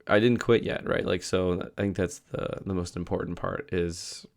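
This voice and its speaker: A man's voice: sits low, fairly even intonation, moderate pace, gravelly. A young man talking casually in a video diary, losing his voice from a cold and rambling with many fillers and restarts. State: losing his voice, a little sick. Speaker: vlogger.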